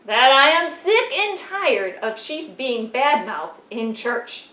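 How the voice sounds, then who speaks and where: A touchy, indignant sheep, voiced by a woman, protests in a huffy, defensive tone and keeps repeating her questions. The voice sits a little high and rings in an echoey church room. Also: very animated, takes it slowly, bright, forceful tone, exaggerated voice. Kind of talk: church puppet show.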